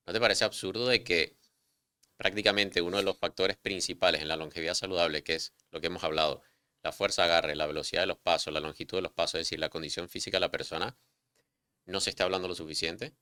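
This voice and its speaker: Deep, bright-toned male podcast host. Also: clear, resonant tone, no echo, clean studio sound. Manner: conversational, poses questions, some restarts. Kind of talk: interview conversation.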